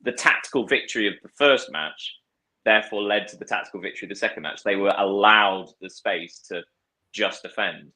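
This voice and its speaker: A man's voice: on the low side, a little fast, sharp tone, poor audio. A man discussing football casually, thoughtful, with frequent fillers and restarts. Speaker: podcast guest.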